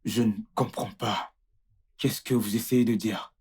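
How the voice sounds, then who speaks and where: A man's voice: medium pitch, fairly even intonation, moderate pace, breathy edge, natural voice. Man acting a bewildered, indignant husband. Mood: bewildered, troubled. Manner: stammering, repeats himself when upset.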